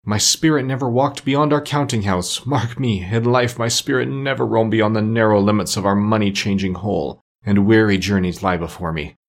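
A man's voice: lowish voice, normal speed, few pauses, close to an everyday voice. A man voicing a tormented, solemn ghost of a dead businessman in a storybook reading, with mournful, grave, declamatory delivery. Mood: bleak.

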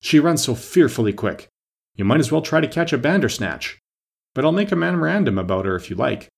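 A man voicing a fretful, excitable old storybook king with a fussy, exclaiming manner. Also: mid-range voice, a little fast, gravelly, breathy edge, voice pushed up, heavily acted. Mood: resigned.

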